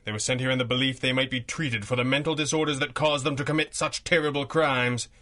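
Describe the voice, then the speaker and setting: An actor playing a principled, defiant masked hero in a radio serial, with dry, formal indignation. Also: male voice, medium pitch, steady tone, fast, bright, forceful tone, lightly acted. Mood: indignant. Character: defiant, principled male masked superhero, captured but wry. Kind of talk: 1930s radio serial acting.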